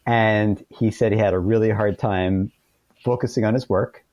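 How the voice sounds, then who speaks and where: A man's voice: lowish voice, fairly even intonation, normal speed, a little mellow, no room sound, muffled recording. A man speaking as an interview guest, explaining things casually through informal anecdotes. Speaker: podcast guest, author.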